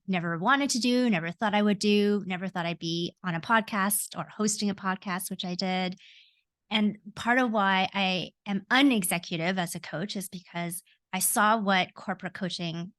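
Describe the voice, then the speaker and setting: A woman in her forties with a Canadian accent talks as a podcast guest, earnest and reflective. She often pauses with ums and corrects herself mid-sentence. Her voice sits in a middle range and the recording is dry and close. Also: mid-range voice, a bit bright, dry recording. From Hong Kong, raised in Canada. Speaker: guest, coach. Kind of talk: podcast interview.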